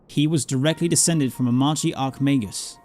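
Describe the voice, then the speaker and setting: A man voicing a grave, scholarly mentor from a fantasy game, telling dark lore in a formal, deliberate storytelling manner. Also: medium pitch, natural voice. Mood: grave, dramatic. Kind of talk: dramatic reading of fantasy game dialogue. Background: game music.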